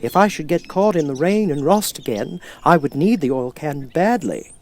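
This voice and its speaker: A man's voice: a little high, expressive, moderate pace, a little hiss or hum, voice pushed up. A man voicing a polite, grateful man made of tin, speaking in a courteous, plaintive way.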